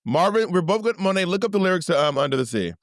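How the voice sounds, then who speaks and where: A man with a fairly high voice that swoops up and down a lot, bantering with a teasing, blunt edge. He sounds close and dry on a studio mic. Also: big pitch swings, fast, no room sound. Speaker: podcast co-host. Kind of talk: casual banter.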